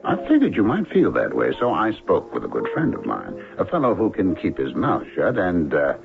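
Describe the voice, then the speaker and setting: Radio drama: suave, flirtatious professor, theatrical flattery, very animated delivery with some creak. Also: male voice, rumbling low, heavily acted. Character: smooth, flirtatious professor, a practised ladies' man. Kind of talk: old-time radio drama acting. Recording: tinny band-limited old radio broadcast, music behind.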